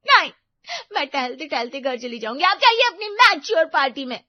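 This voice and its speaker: A woman's voice: high voice, very animated, unhurried, no room sound, lo-fi sound, pitched above the performer's own voice. A woman voicing a clingy, childish young girlfriend who dotes, pouts and gushes in baby talk for an audio drama. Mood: hurt, tearful. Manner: baby talk, pouty, gushing.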